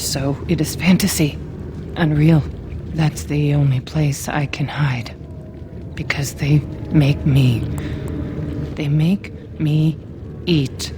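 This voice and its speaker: A woman's voice: sits low, background noise. An actress voicing a haunted, half-mad dwarf woman in a fantasy video game, chanting eerily and breaking into anguished whispers. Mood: haunted, despairing. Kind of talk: dramatic fantasy game acting.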